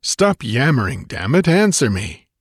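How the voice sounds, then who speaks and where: A man voicing a massive, contemptuous barbarian warrior in an audiobook, barking and rumbling with impatience. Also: animated, moderate pace, no room sound, clean studio sound, close to an everyday voice. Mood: demanding. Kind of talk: dramatised audiobook character voice.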